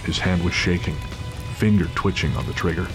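Casting: male storyteller, very low register, dramatic thriller narration over a music and rain bed. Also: a little fast.

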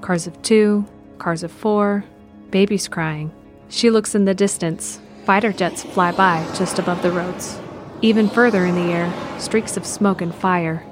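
A woman reading scripted narration for an audio drama in a measured, descriptive way. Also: lowish voice, fairly even intonation, music in the background.

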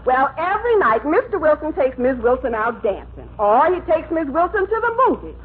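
An actress playing a romantic, dissatisfied, nagging wife in an old radio sitcom, plaintive and theatrical. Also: female voice, sits high, very animated, fairly quick. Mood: hinting, nagging. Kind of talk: 1940s-50s radio sitcom acting. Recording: tinny old radio recording, hiss.

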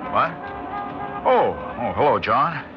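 Senior scientist: commanding, absent-minded, highly animated delivery, 1960s radio play, muffled sound under loud music. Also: male voice. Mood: dazed. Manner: clipped orders, halting musing. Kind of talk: 1960s radio drama acting.

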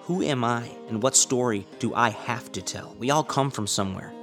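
A man's voice: medium pitch, normal speed, a bit bright, soft background music. A man hosting a podcast, musing earnestly through rhetorical questions before he introduces a guest. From United States. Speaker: podcast host and musician. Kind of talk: reflective monologue and interview.

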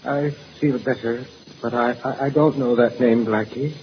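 A man's voice: mid-range voice, fairly even intonation, moderate pace, natural voice. An actor playing an anxious, grieving middle-aged man in a 1940s radio drama, hesitant and earnest. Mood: distressed. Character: anxious, grieving father wrongly accused of murder. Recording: muffled, noisy old radio recording.

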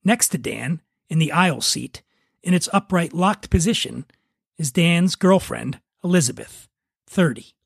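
A male podcast host introduces and narrates a script. His voice is raspy and creaky, a bit high for a man, recorded close and dry. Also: expressive, unhurried, no room sound.

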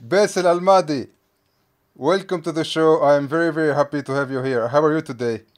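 A man hosting a casual interview podcast, friendly and quick to laugh, asking questions with small stumbles. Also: a little high. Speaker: podcast host.

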